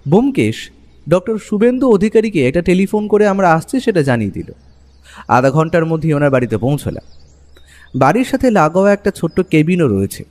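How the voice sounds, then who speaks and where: A man's voice: a little high, darkish tone. A man with a Bengali accent giving dramatic first-person narration of a detective story. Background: music under the narration.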